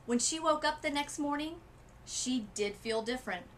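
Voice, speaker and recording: A woman reading a children's storybook aloud as a literacy coach, with expressive emphasis and dramatic pauses. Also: sits high, slight background noise.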